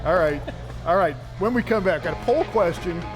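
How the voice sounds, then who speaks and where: A man's voice: high-pitched, animated, moderate pace, poor audio. A man hosting a sports talk show from a sports bar. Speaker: panel show host. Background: music.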